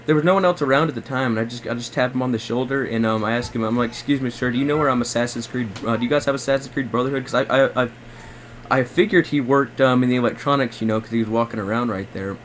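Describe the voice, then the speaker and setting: A man's voice: medium pitch, fast. A man making a polite phone complaint, hesitant and repetitive, circling back over his words. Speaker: prank caller posing as a customer. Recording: faint background noise on recorded call.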